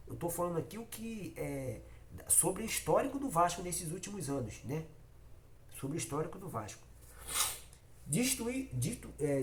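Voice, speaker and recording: A man's voice: a little high, a little mellow, slight background noise. A man from Rio with a Carioca accent talks straight to his viewers in a casual fan vlog. He has a cold and his voice comes out nasal. He repeats himself for emphasis, hammering each point home. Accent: Carioca Brazilian Portuguese. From Rio de Janeiro, Brazil. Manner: repetitive and emphatic, addresses viewers directly. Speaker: football fan channel host.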